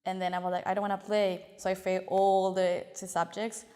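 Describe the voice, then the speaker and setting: A woman's voice: mid-range voice, flat delivery, a little fast, mellow. An eighteen-year-old woman from Paraguay with a Spanish-speaking accent, casually telling her story in an interview. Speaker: interviewee, violinist. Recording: echoey hall reverb.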